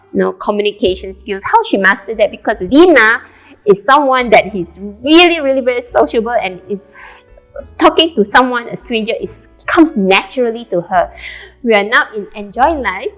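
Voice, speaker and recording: Brief: hesitant woman interviewer, slightly high pitch, faint music behind. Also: poor audio. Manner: slow, hesitant, with fillers. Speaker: host, interviewer. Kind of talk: casual interview. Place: small shop café indoors.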